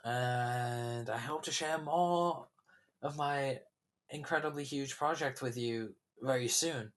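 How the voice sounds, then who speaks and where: A man's voice: mid-range voice, slow, fairly bright tone. A young man hosting his own podcast, chatting casually and rambling with many fillers, his throat a little sore. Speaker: podcast host. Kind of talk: casual solo podcast chat.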